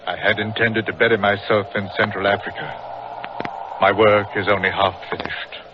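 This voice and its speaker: A man's voice: lowish voice, flat delivery, normal speed, natural voice. A man playing a proud, grief-stricken explorer doctor, grave and impassioned, in 1940s radio drama style. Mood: grave confession. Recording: muffled, noisy old-time radio recording.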